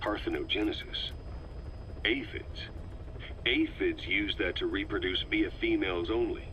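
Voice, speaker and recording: A man's voice: deep voice, unhurried, a bit bright, slight background noise, poor audio. An actor playing a serious middle-aged military commander in a game, questioning tersely as he probes for answers. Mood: probing. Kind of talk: investigative dialogue in dramatic game voice acting.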